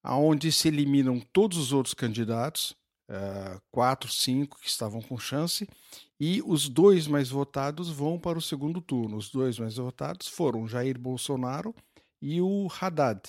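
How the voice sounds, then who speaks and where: A man's voice: medium pitch, expressive, moderate pace, no echo, studio quality. An older man, a guest patiently explaining something, with many fillers and restarts. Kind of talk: casual explanatory conversation.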